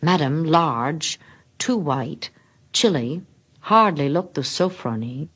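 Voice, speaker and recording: Low, husky woman reading expressively. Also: expressive. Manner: theatrical, with dramatic pauses and hushed drops. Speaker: story reader. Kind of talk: expressive literary narration.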